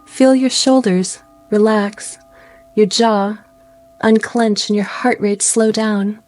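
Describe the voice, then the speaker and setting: A woman guiding a meditation in a gentle, soothing way, speaking in slow phrases with pauses. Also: medium pitch, steady tone, soft background music. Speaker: meditation guide. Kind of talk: guided meditation narration.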